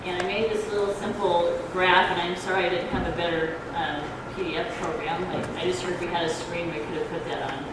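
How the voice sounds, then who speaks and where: Soft-spoken woman in a very echoey hall. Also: lowish voice, fairly even intonation. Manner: halting, hesitant, loses her thread. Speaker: ballot measure proponent, debate speaker. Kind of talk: informal explanatory forum speech. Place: community grange hall. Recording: echoey hall, distant noisy microphone.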